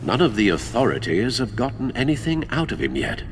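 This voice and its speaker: A man's voice: mid-range voice, big pitch swings, fairly quick, creaky, background noise. A man playing an elderly, dutiful British butler in a video game, speaking formally and carefully with fatherly gravity. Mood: concerned. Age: older. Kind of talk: dramatic video game acting.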